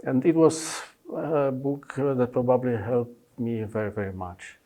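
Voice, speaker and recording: A man in his fifties whose first language is Polish, presenting his book with many pauses, fillers and restarts in non-native phrasing. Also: medium pitch, steady tone, mellow. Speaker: consultant pediatrician.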